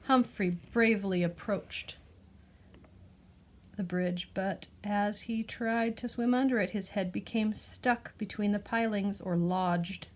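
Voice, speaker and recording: Female read-aloud teacher, mid-pitched voice, expressive storytelling with explanatory asides for children, slight background noise. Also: takes it slowly. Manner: explains words and asks the listener gentle questions. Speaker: teacher reading a picture book aloud to children.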